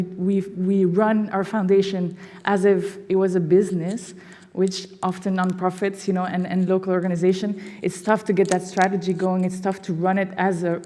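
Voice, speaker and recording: A woman from Belgium presents to an audience with eager energy, often saying "you know". Her voice sits at a middle pitch. Long reverb from the hall trails behind her words. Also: dark tone. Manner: enthusiastic, fillers like "you know" and "no". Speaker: foundation presenter. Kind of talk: public presentation. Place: symposium hall.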